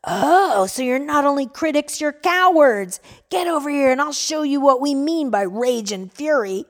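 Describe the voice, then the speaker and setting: A woman voicing an irate man who shouts taunts from a distance. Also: sits high, lively intonation, moderate pace, pitched above the performer's own voice. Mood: taunting. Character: irate male city dweller, taunting.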